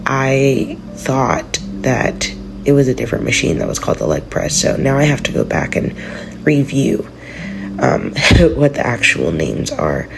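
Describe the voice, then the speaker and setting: A congested woman giving a relaxed, rambling voice-over about her gym session. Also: rumbling low, a little slow, creaky, over music, rough recording. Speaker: vlogger. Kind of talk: casual voice-over narration.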